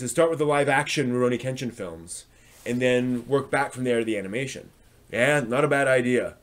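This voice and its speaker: A man hosting a live stream, talking casually and answering viewers' comments in a hesitant, thinking-aloud manner. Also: medium pitch.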